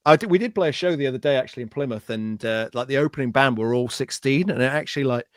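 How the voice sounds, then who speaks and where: A man's voice: medium pitch, fast talker, no echo, clean studio sound. A man from Anglesey with a Welsh accent, Welsh his first language, chatting as a podcast guest in a rambling, self-deprecating way full of filler words. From Wales (Anglesey). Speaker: guest, pedal maker. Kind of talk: casual podcast chat.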